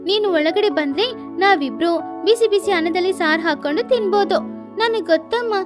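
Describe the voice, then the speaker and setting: A woman's voice: very high-pitched, moderate pace, voice pushed up. A woman voicing a loving, easily frightened little sparrow daughter in a children's bedtime story, speaking with childlike, emotive pleading. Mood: happy, loving. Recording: music behind the voice.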